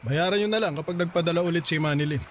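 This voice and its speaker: A man's voice: on the high side, racing, fairly bright tone, slight background noise, natural voice. A man playing a smug, scornful father. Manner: smug, mocking, laughing. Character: middle-aged father, shameless and scornful.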